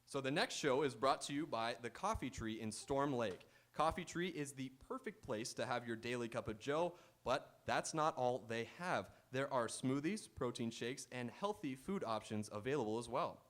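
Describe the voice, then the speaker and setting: A man's voice: medium pitch, animated, normal speed, few pauses, fairly bright tone. Male live quiz show host. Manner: playful banter with contestants and audience. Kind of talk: live game show hosting with ad reads. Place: auditorium stage.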